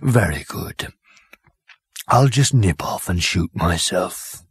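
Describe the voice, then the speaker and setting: A man voicing a courteous fat bovine creature that offers itself as the dish of the day, in a slow, ingratiating way with a husky voice. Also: deep voice, expressive, no room sound, heavily acted. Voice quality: gravelly and husky.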